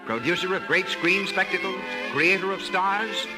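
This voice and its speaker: A man's voice: high voice, normal speed, rough recording. A man announcing formally in the style of 1930s American radio. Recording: vintage 1930s radio, loud music behind. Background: loud music.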